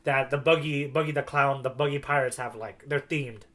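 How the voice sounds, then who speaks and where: A man's voice: medium pitch, fairly even intonation, normal speed, fairly bright tone. A man co-hosting a podcast, chatting casually in a rambling, enthusiastic way. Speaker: podcast co-host. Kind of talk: casual chat.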